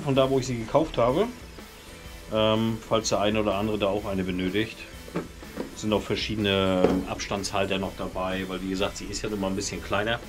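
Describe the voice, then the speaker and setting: Chatty German-speaking man in a garage. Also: on the low side. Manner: chatty, many ums and restarts. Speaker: motorcycle vlogger. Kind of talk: casual product review talk. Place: home garage beside a motorbike, door open.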